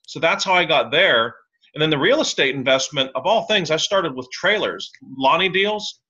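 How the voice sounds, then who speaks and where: A man's voice: on the high side, lively intonation, normal speed, bright, forceful tone, slightly rough. A man being interviewed as a tax and real estate lawyer on a podcast, talking in a blunt, opinionated, wry way. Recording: slightly dull lo-fi sound.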